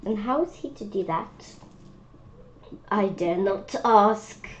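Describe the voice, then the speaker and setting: Female children's book reader, unhurried and halting with curious asides; lo-fi audio with faint background noise. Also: medium pitch, expressive. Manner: halting reading with asides and wondering questions. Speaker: read-aloud presenter. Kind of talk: children's picture book read-aloud with spontaneous comments. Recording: dull lo-fi sound with faint noise.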